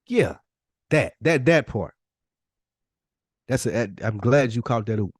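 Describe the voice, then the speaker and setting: A man's voice: mid-range voice, expressive, a little slow, husky, no echo, clean studio sound. An American man co-hosting a podcast, talking candidly and reflectively about himself in casual conversation. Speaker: podcast co-host.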